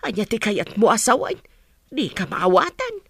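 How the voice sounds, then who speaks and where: A woman's voice: medium pitch, very animated, moderate pace, gravelly, a bit bright, husky, no room sound, heavily acted. An actress with a Filipino accent playing a suspicious, nagging older mother who questions and scolds, in a radio drama. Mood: exasperated. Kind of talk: Ilocano radio drama acting.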